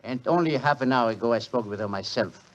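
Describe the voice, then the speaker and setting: An actor playing a suave, wry French police inspector with a French accent in 1940s radio drama style. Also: male voice, medium pitch, quick pace, slight background noise, close to an everyday voice. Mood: stern, accusing. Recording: muffled 1940s radio recording.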